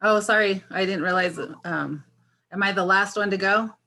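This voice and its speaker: A woman's voice: mid-range voice, sharp tone, a little hiss or hum. Fifty-year-old woman candidate on a video call. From Washington State, US. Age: 50s. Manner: halting, many fillers and restarts. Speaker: election candidate. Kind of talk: candidate forum introduction.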